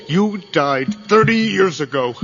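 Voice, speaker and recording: An actor playing a tormented, haunted middle-aged man in a horror radio drama, anguished and halting. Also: on the high side, lively intonation. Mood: defiant, anguished. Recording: muffled, band-limited audio with music.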